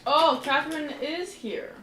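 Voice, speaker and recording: A woman's voice: sits high, unhurried, a bit bright, slight background noise. A woman chatting casually as she streams and comments on a video game. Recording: echoey room. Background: game music.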